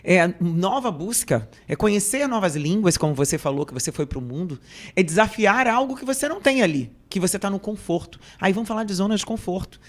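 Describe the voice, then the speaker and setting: A woman's voice: mid-range voice, lively intonation, brisk. A Brazilian woman hosting a podcast conversation, affirming what her guest says and elaborating on it. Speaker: podcast host. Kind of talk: conversational interview.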